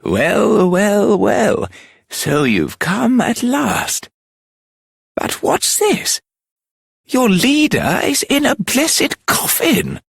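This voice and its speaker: Creaky, drawling, eccentric old dreamer. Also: male voice, sits high, wildly swooping pitch, very slow, a bit bright, dry recording, studio quality, heavily acted. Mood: surprised. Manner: drawling, whimsical, theatrical. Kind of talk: dramatic fantasy video game voice acting.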